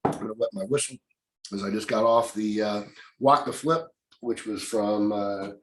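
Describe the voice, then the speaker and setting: Man, low voice, slightly raspy, folksy encouraging teacher, echoey room. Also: steady tone, normal speed, noisy background. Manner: folksy, encouraging, repeats "okay?". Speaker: real estate investing teacher. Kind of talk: live online class, casual lecture.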